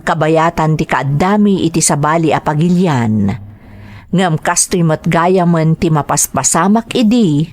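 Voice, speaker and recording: Slow-paced Ilocano woman narrator. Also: lowish voice. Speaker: drama podcast host and narrator. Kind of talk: scripted announcing and letter narration.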